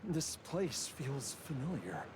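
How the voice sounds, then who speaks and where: An actor playing an ailing, earnest young husband in a video game, halting and strained but determined. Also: male voice, medium pitch, big pitch swings, noisy background. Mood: uneasy, pained. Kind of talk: dramatic game voice acting.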